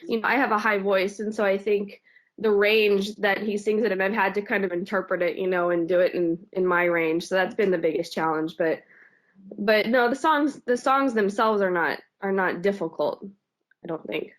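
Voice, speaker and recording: A woman guest talking through her answers in a relaxed, loose way, full of small restarts and "you know"s. Her speaking voice sits in an ordinary middle pitch, neither high nor low, and she sounds like an everyday person rather than a trained speaker. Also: moderate pace. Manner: hesitant, many fillers and restarts. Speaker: singer in a cover band, guest. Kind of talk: casual interview chat.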